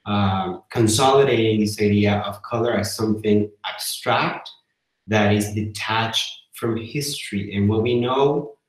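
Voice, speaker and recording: A man with a fairly low voice leads a video-call seminar, thinking aloud with many "uh"s and restarts. His voice rings in an echoey room. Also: unhurried. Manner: thoughtful, hesitant with fillers. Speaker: residency program host. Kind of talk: online seminar discussion.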